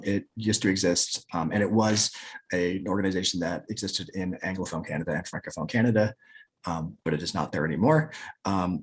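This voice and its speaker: A man on a library panel in an online roundtable, explaining things in a brisk, matter-of-fact way. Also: low-pitched, fairly bright tone, slightly rough. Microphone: video call.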